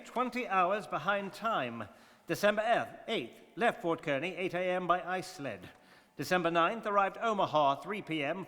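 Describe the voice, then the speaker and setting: A man playing an imperturbable gentleman traveller in a radio drama, speaking in a clipped, formal, precise manner. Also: high-pitched, exaggerated voice. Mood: dry, reporting. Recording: reverberant hall-like studio.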